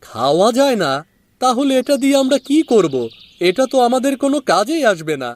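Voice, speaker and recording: A man's voice: very high voice, very animated, brisk. A man playing a kind, humble young village husband in a cartoon fairy tale, earnest and affectionate. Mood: puzzled. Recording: faint music behind.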